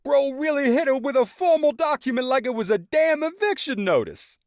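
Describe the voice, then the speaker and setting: A man putting on an eager young best friend, loud and teasing, his voice pushed very high. The recording is dry and close. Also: expressive, normal speed, no room sound. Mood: gleeful teasing.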